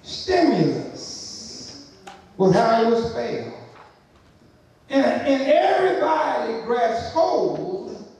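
A middle-aged man preaching a sermon as pastor, building to shouted emphasis and asking the congregation for response. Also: very high voice, sing-song, very slow, a little hiss or hum. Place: church sanctuary, large, indoors. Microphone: pulpit microphone, far camera. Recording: very echoey church hall from a distance.

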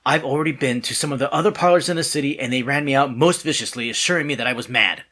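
An anxious, well-mannered man begging for help in a radio play, played by a male actor. His mid-pitched voice is husky. He sounds hesitant, earnest and desperate. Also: fast talker, pushed and bright. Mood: pleading. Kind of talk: audio drama acting.

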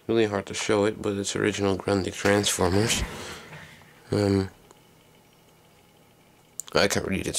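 A man giving a casual, unscripted hands-on demonstration in a low, creaky voice, recorded on a handheld camera microphone. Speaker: hobbyist video maker. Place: small indoor workroom.

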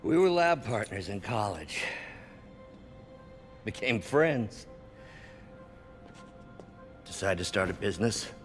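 An actor playing a proud, embittered older scientist, articulate and intense, in a dramatic video game scene. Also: male voice, on the low side, sing-song, slow pace, long pauses, faint music behind. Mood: rueful, reflective.

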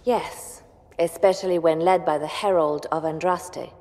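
An actress playing a shrewd, composed spymaster advisor in a video game, speaking in a measured, firm and persuasive way. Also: female voice, deep voice, steady tone, a little mellow, long reverb tail. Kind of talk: dramatic video game dialogue.

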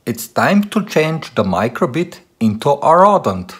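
Animated man vlogger, lively pitch swings. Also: a little slow. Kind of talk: presenting to camera and narrating a car tech video.

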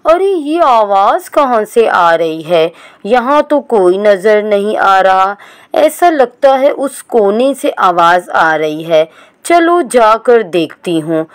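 A woman voicing a kind, motherly female sparrow in a cartoon story, speaking softly and coaxingly. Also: mid-range voice, sing-song, takes it slowly, clear, resonant tone. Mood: puzzled.

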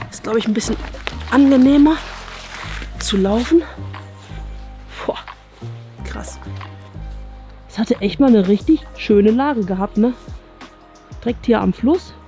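A woman's voice: a little high, dark tone. Musing German woman exploring outdoors. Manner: musing aloud, often seeking agreement with "ne?". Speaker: urban exploration vlogger. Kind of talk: casual walking commentary to viewers. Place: outdoors at an overgrown abandoned campsite. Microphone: action camera worn on chest.

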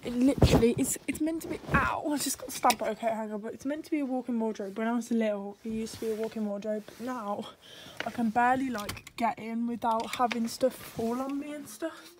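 A woman's voice: a little high, fairly even intonation, a little fast. A teenage girl chatting casually in a home vlog from her bedroom, rambling with plenty of fillers. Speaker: vlogger. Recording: noisy home video.

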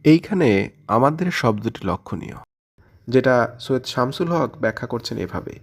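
A man's voice: medium pitch, animated, moderate pace, vocal fry. A man presenting a scripted literary commentary in an explanatory way, posing questions and answering them as he goes. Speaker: presenter of a literary audio channel.

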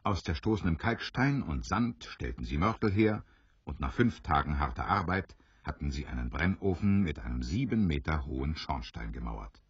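A man narrating an audio drama like a storybook, measured and expressive in his scripted German storytelling. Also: rumbling low, husky, no echo. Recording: dry, slightly dull studio recording.

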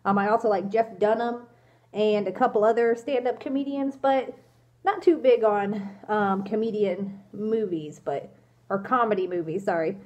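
A woman's voice: medium pitch, normal speed, a little mellow, muffled recording. A young woman with a congested cold, answering viewer questions in a casual vlog, hesitant and apologetic with many ums, filmed on a phone held at arm's length in a small room. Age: young adult. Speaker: fursuit vlogger answering questions. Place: small indoor room, in costume. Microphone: phone camera at arm's length.

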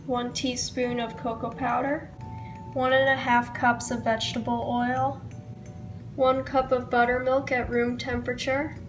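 Casting: young female baking vlogger with a cold, high voice, casual recipe narration, music underneath. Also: low-quality recording. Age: young adult. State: sick with a cold. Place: home kitchen, indoors.

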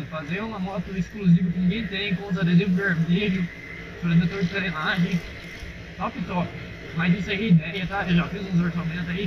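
A young Brazilian man chatting casually to his viewers while riding a motorcycle on a dirt road, recorded on a helmet camera. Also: sits high. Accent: Brazilian Portuguese. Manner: chatty, addresses viewers as "rapaziada". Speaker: motovlogger. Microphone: helmet-mounted action camera. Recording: muffled helmet camera with engine and wind noise.

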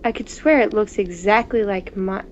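A woman co-hosting a gaming video, reading visual novel text aloud in a playful, expressive way with casual asides. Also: medium pitch, normal speed. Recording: dull, noisy home recording.

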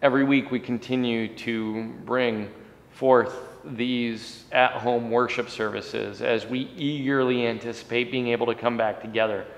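A man's voice: mid-range voice, fairly even intonation, unhurried, vocal fry. A man in his forties, a pastor, preaching and praying in an earnest way with rising and falling emphasis. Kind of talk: sermon and prayer. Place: church sanctuary, indoors. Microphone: fixed camera at chest height. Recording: long church hall reverb.

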